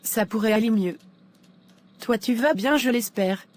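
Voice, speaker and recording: A woman's voice: on the high side, a little slow, darkish tone, natural voice. A woman playing a polite, troubled young single woman who confides hesitantly in a cartoon drama. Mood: troubled, confiding.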